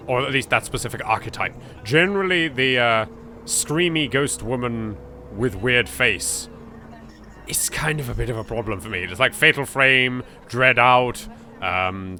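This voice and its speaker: A man with an English accent hosting a let's play, reading narration aloud and breaking in with animated, jokey asides. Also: mid-range voice, slight background noise. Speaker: gaming video host.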